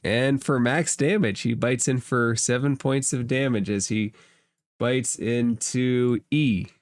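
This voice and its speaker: A game master narrating a tabletop game in a measured, matter-of-fact way. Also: medium pitch, fairly even intonation, no room sound, studio quality.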